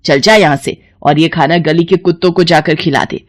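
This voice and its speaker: महिला की आवाज़ में तानेबाज़, गुस्सैल बूढ़ी सास. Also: female voice, low-pitched, fairly even intonation. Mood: angry, disgusted. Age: older. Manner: scolding, dramatic, drawn-out calls. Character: scornful, bullying old Indian mother-in-law. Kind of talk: cartoon family melodrama.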